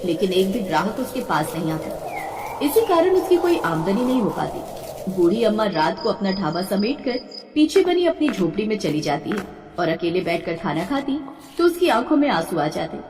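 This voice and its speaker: A woman's voice: medium pitch, very animated, moderate pace. A woman narrating a scripted folk tale as a storyteller. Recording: echoey reverberant distant voice, faint noise.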